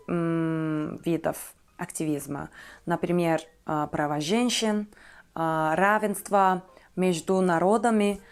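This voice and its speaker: Turkish-accented young woman, halting and careful. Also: fairly low pitch. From Turkey. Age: 20s. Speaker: vlogger. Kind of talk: personal talk to viewers about herself.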